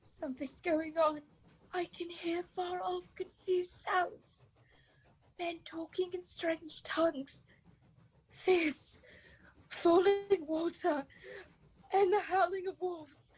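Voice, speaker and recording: An actress in a radio play voicing a fragile, eerie young woman speaking slowly from a trance, her breathy voice drifting toward whispers. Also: very high voice, fairly even intonation, rough recording. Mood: fearful trance.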